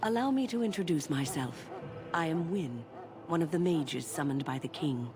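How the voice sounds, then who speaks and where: A woman playing a courteous, wise older mage in a fantasy game, composed and gently wry. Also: low voice, animated, moderate pace. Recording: game audio under loud music.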